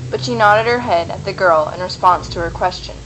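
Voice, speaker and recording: A woman's voice: on the low side, fairly quick, a bit bright, a little hiss or hum, rough recording. A woman reading scripted narration for a fantasy radio play. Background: music.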